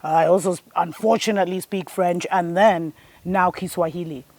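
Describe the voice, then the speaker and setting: A woman's voice: fairly low pitch, fairly even intonation, normal speed, darkish tone, no echo. A woman, hosting a spiritual show and giving an earnest, preachy monologue that speaks to her listeners as family. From Kenya.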